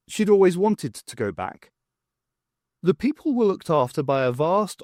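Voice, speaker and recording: A man's voice: on the high side, darkish tone, no echo, clean studio sound. A man narrating a scripted story as an audiobook reader.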